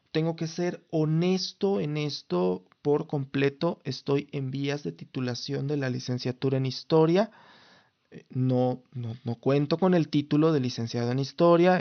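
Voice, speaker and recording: A man's voice: on the high side, creaky. Formal-sounding Mexican man, lo-fi recording. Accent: Mexican Spanish. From Mexico City. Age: 30s. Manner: formal, lawyerly phrasing with frequent restarts and fillers. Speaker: podcast host. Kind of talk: casual solo podcast talk.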